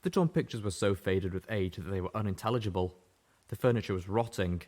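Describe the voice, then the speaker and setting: A man narrating a fan-fiction audiobook with a steady, even-paced reading voice. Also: low voice, a little fast. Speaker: audiobook reader. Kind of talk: scripted story narration.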